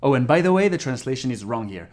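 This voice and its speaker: A man's voice: mid-range voice, sing-song, fast talker, a little mellow. A man who is a non-native English speaker giving a casual, frank video lesson on learning a language. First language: not English. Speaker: language teacher YouTuber.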